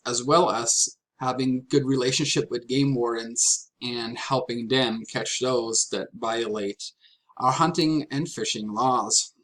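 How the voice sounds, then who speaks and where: Guy from germany doing a student slide talk, really slow, careful w lots of pauses. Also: medium pitch, fairly bright tone. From Franconia, Germany. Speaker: graduate student presenter. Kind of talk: prepared slide presentation.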